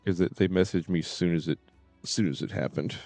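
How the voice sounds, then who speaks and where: A man live streaming and chatting casually to viewers, halting and often repeating his phrases. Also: deep voice, fairly even intonation, a little mellow, no echo, soft background music.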